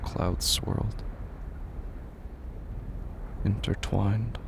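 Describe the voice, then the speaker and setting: A man with a gravelly, breathy voice narrating a horror audio drama in an intense, dramatic, deliberate way. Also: on the low side, fairly even intonation, noisy background. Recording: loud ambient sound effects under voice.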